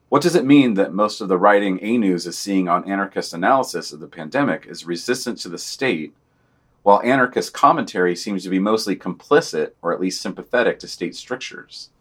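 A man's voice: fairly low pitch, fairly bright tone, husky. A man hosting a podcast, reading a scripted news digest and long quotations aloud. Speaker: podcast host.

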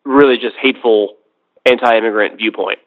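A man's voice: medium pitch, steady tone. Tentative man on a phone-like line. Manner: tentative, measured, restarts to qualify points. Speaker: podcast discussion guest. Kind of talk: casual conversational discussion. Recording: thin, narrow phone-like line.

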